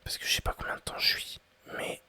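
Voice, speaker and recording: A man in his twenties whispering ASMR-style as he thinks aloud through quiz questions, hesitant and rambling with many pauses and restarts. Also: pushed and bright. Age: 20s. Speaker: ASMR creator.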